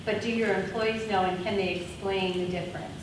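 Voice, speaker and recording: A woman leads a conference workshop, talking loudly and laughing as she takes questions. She stands without a mic, so her voice comes from across an echoey room. Steady room noise runs under it. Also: medium pitch, a little fast, distant mic. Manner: loud voice. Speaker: conference presenter. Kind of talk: workshop presentation with audience questions. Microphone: speaking without a mic.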